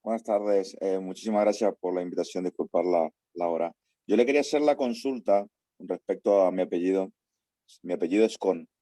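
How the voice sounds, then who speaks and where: A man's voice: low-pitched, brisk, mellow, no echo. A man from the audience politely asking a question.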